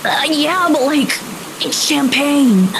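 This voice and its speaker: A woman's voice: high voice, animated, takes it slowly, background noise, pitched above the performer's own voice, exaggerated voice. A woman voicing a tipsy male coworker who whines and complains in a mocking, theatrical way in a tabletop game. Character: whining, tipsy male coworker griping about champagne.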